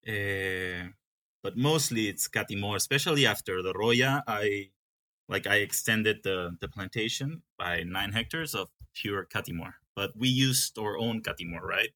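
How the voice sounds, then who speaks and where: This is a young man from Peru who runs a coffee farm. His voice sits slightly low but has a bright, forward tone. He speaks casually, with frequent restarts and filler words. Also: a little slow. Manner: hesitant, with many restarts. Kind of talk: casual interview.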